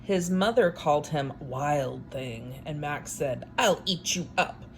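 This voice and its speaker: Slow talking lady doing storytime, kinda low voice, wry little asides, faint noise behind her. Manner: expressive, unhurried reading with wry offhand commentary. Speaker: storyteller reading a picture book aloud. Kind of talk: read-aloud storytime with casual asides.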